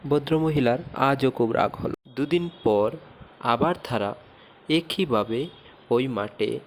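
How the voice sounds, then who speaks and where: A man narrates a scripted cartoon moral tale at a slow, measured pace. His voice sits at an average male pitch, with faint noise behind it. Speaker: storyteller, narrator.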